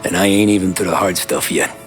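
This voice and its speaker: A man's voice: low-pitched, fairly even intonation, fast, soft background music, close to an everyday voice. A man playing a hard-boiled, world-weary mobster in a dramatic video game, talking in a dry, clipped, streetwise manner. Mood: cool, goading.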